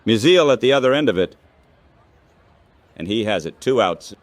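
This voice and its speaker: A man calling baseball play-by-play as an announcer, in short, measured phrases. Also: mid-range voice, animated, no room sound.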